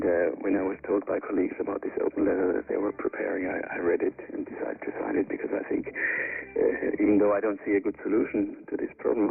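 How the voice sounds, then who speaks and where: A man, a scientist giving hesitant, measured, unscripted interview answers. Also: low-pitched, vocal fry, husky. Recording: muffled, narrow-band shortwave radio broadcast.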